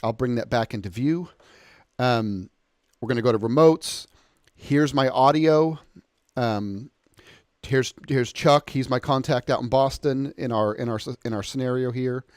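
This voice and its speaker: An American man talks through a software tutorial in a conversational, unscripted style. His voice sits at an average male pitch and is recorded close and dry. He explains things step by step in an informal way. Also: unhurried, dry recording. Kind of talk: informal off-the-cuff software walkthrough. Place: indoors, close dry voice-over of a screen recording.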